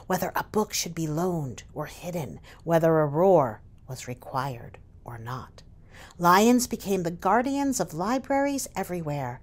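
Woman, children's author, storybook read-aloud, dramatic emphasis, mid-pitched voice. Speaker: children's author reading own picture book.

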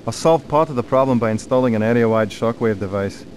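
An actor playing a weary, grieving scientist in a sci-fi video game, confiding as if recording a personal log. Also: male voice, mid-range voice, fast talker, a little mellow, a little hiss or hum, close to an everyday voice. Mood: weary, explanatory. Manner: confiding, log-style delivery. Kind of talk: video game cutscene acting.

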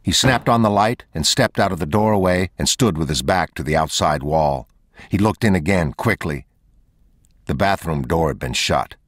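Male audiobook narrator, very low-pitched, measured and unhurried reading. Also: expressive, dry recording, studio quality.

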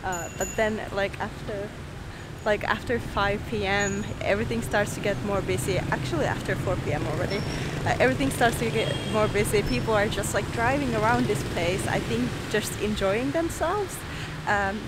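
A woman's voice: mid-range voice, moderate pace. A young woman from Finland chatting casually in a travel vlog, bubbly and full of "like" fillers, talking to a camera held at arm's length outdoors. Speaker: travel vlogger. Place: rooftop terrace outdoors, later busy street. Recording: outdoor traffic noise behind. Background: traffic and street ambience.

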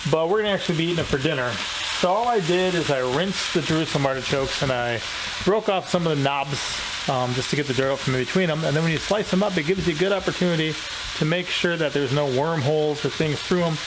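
A man's voice: a little high, fast talker, background noise. A man in his thirties, a home cooking vlogger, casually explaining a simple recipe in a small kitchen as he cooks. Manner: relaxed, rambling, explains as he cooks. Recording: clear kitchen sizzle behind voice. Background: pan sizzling and frying.

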